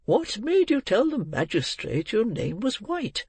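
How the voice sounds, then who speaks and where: Storybook old gentleman played by a man: kind-hearted, brisk, fussily emphatic, dry close sound. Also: sits high, huge pitch swings, husky, no room sound, voice pushed up, full character voice. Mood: brisk, puzzled. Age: older. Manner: emphatic, repetitive, questioning with "eh?".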